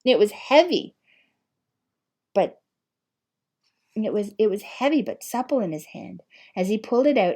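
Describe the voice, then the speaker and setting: A woman reading an audiobook in an expressive storybook style, with deliberate, dramatic phrasing and emphasis. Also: mid-range voice. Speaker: audiobook reader.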